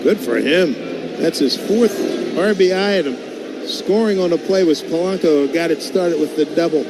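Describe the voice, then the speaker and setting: A man doing live baseball play-by-play on radio, speaking in clipped phrases that can rise into excited calls. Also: on the high side, unhurried. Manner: clipped phrases, calls rising to excited shouts on big plays. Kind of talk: live sports play-by-play commentary. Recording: noisy live ballpark broadcast.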